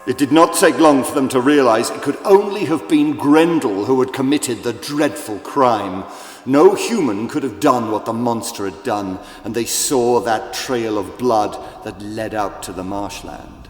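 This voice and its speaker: Male children's audiobook narrator: dramatic, deliberate, very unhurried, heavy echo, light music bed. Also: mid-range voice. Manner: theatrical, deliberate, with big swells and hushes. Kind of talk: dramatic scripted storytelling for children. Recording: echoey, long reverb, music behind.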